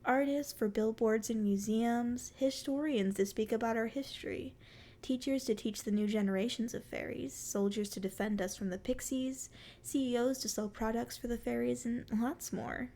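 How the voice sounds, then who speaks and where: Casting: female performer as a sweet, playful forest fairy for ASMR roleplay, very high voice with a creaky edge, teasing and curious. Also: moderate pace, dark tone, lightly acted. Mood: chatty, friendly. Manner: sweet, flirty, teasing endearments. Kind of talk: ASMR roleplay, addressing the listener.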